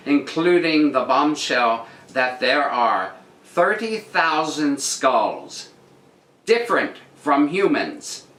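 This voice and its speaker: A man reading articles aloud and commenting on them casually, with dry, deadpan asides. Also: mid-range voice. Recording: roomy echo with noticeable background noise.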